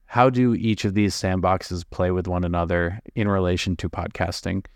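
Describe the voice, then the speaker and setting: A man's voice: low-pitched, fairly even intonation, moderate pace, darkish tone, dry recording, studio quality. A man hosting a podcast, asking direct, friendly interview questions. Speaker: podcast host. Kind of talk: interview questions and scripted outro.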